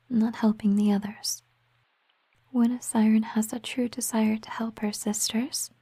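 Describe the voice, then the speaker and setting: A woman voicing a gentle, wistful siren mermaid girlfriend in an intimate ASMR roleplay, speaking in a soft, breathy, hesitant whisper. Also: fairly high pitch, no echo. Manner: slow, hushed, tender, hesitant.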